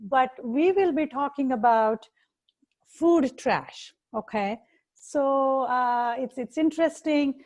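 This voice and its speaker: A woman with an Indian accent hosts an interview, her voice sitting high. She pauses often with fillers and restarts as she searches for words. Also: darkish tone. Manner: hesitant, many fillers. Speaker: host, dietitian. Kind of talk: interview chat.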